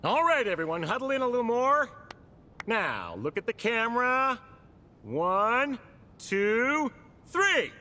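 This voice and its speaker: A man playing a kindly, helpful gentleman in a video game, speaking in a friendly, polite way. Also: very high voice, sing-song, takes it slowly, long pauses, big-room sound, heavily acted. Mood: cheerful.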